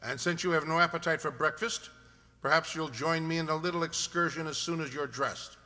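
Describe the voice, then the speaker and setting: Man acting gentleman detective, inquisitive, precise, crisp bright edge, radio drama, long reverb. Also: fairly high pitch, steady tone, fairly quick. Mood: uneasy, determined. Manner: precise, formal, commanding. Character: brisk, inquisitive gentleman detective, composed and candid. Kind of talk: radio mystery play acting. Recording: reverberant hall-like sound.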